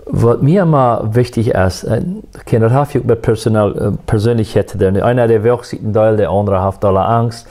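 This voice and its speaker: A man, a musician, telling his life story in a soft-spoken, reflective and faith-centered way. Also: fairly low pitch, moderate pace, few pauses. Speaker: musician guest.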